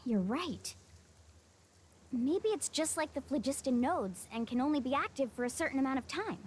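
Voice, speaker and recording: A woman's voice: sits high, lively intonation, normal speed, a little hiss or hum. A woman playing an upbeat, decisive young tour guide in a video game. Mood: urgent. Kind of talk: video game character acting.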